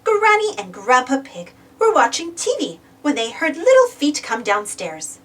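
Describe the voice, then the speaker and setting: A woman reading a picture book aloud as narrator, expressively and deliberately, for young children. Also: high voice, wildly swooping pitch, far from the mic.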